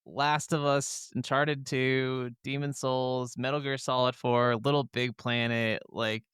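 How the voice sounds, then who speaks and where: A man's voice: mid-range voice, flat delivery, slow pace, a bit bright, smooth, dry recording, clean studio sound. A man co-hosting a podcast, chatting casually and informally about video games. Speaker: podcast co-host.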